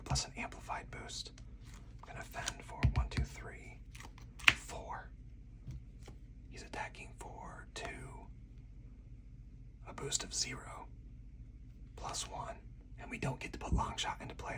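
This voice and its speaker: A host whispers through a solo card game play-through in ASMR style. The voice is breathy and unvoiced, set a little way from the mic, and talks through each move in order. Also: medium pitch, recorded from a distance. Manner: step-by-step narration of each move.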